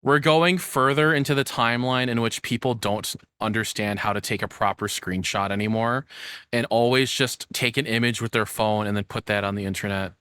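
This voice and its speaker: A man's voice: mid-range voice, steady tone, moderate pace, pushed and bright, clear, resonant tone, no room sound, clean studio sound. A young man doing casual reaction commentary as a streamer, rambling as he thinks aloud. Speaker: VTuber streamer reacting to a video.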